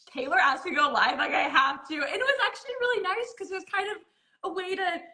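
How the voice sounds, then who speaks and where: A young woman hosting a casual live-stream chat, bubbly and quick to laugh, with lots of "like". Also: very high voice, bright, forceful tone. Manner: bubbly, laughs often, says "like" a lot. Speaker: host.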